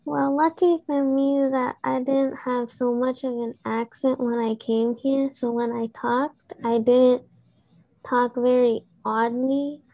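Young female student, Urdu native, high voice, slow and careful delivery. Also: steady tone, mellow, dull audio. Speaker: student guest. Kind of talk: casual chat.

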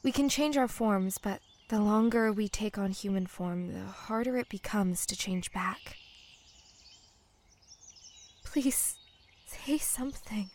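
An actress playing an earnest, pleading mermaid in human form in an audio drama, speaking softly and hesitantly, often near a whisper. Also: female voice, mid-range voice, takes it slowly, pauses often, a little hiss or hum, natural voice. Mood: solemn, confiding. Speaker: voice actor.

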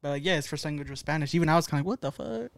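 A young man streaming casual chat, teasing his friend with jokes and loud swings in volume. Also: fairly high pitch, expressive, very fast, gravelly, no room sound.

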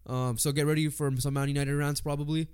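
A man's voice: a little high, little pitch movement, brisk, a little mellow, smooth. A man co-hosting a sports podcast with a congested voice, chatting informally and swearing casually. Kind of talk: casual sports chat, reading lists and predictions.

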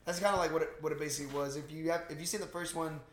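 Higher-voiced man chatting in an echoey room. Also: fairly even intonation, very fast, fairly bright tone, slight background noise. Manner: profane, slangy bro talk. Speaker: podcast host. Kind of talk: casual movie recap chat.

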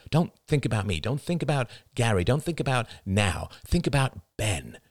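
A man's voice: lowish voice, very animated, moderate pace, no echo, studio quality. Audiobook role: intense young family man, insistent, wide-ranging delivery male narrator acting. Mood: pleading. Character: Ian, intense, insistent husband and father. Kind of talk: dramatic audiobook dialogue.

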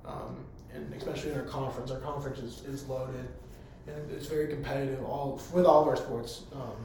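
A hoarse young man, a college public address announcer, answering interview questions in an eager, rambling way full of fillers. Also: mid-range voice, fairly even intonation, fairly quick, a little mellow. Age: young adult. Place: room on a college campus. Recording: very echoey room, faint background hum.

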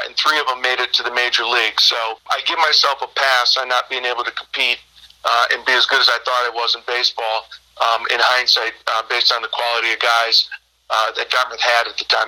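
American male, 50s, team owner and coach in interview. Sharp bright tone, flat intonation, measured explanatory delivery. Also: medium pitch, rough recording. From Michigan, United States. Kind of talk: conversational interview answers.

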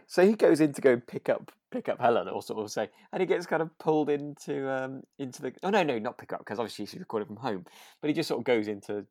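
Podcast co-host, casual chat, mid pitch, chuckly, hesitant, enthusiastic, dry sound. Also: lively intonation, quick pace, darkish tone, dry recording. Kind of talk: casual chat reviewing a radio drama.

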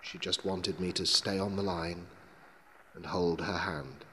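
A man reading a short story as a dramatic narrator, in a measured and sombre manner. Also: low-pitched, fairly even intonation, a bit bright. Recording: long hall reverb, clear background noise.

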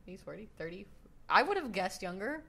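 A woman's voice: medium pitch, sing-song, brisk, pushed and bright. A woman in her twenties co-hosting a casual podcast, chatting in a playful, jokey way and swearing casually. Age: 20s. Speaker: podcast co-host. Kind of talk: casual chat. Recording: clear background noise.

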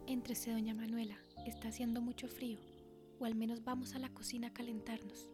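Woman playing worried servant woman, thin highish voice, deferential, gentle, radio drama, faint music behind. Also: flat delivery, brisk, lightly acted.

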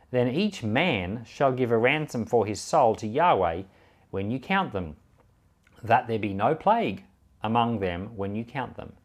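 A man reads scripture aloud in a medium-pitched voice. His intonation rises and falls widely as he stresses phrases. The delivery is measured and solemn. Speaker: Bible reader and podcast host.

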